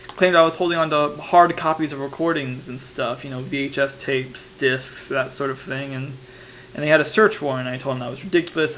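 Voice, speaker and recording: A man acts out an anxious young male reporter confiding in a tape diary. He rambles and hesitates in an informal way, in a mid-pitched voice, over faint recorder noise and hum, as in an analog horror monologue. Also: fairly bright tone, natural voice.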